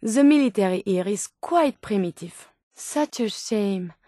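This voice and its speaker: A woman's voice: mid-range voice, animated, unhurried, warm tone, no room sound, clean studio sound. A woman voicing a curious, polite female robot scientist in a video game, with precise, formal phrasing and earnest naivety. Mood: curious, matter-of-fact. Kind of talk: video game companion dialogue.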